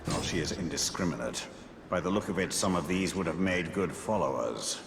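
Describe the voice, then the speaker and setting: An actor playing a cold, calculating wraith lord in a video game, dry in manner. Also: male voice, deep voice, fairly quick. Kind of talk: video game character acting. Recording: echoing voice over clear background noise.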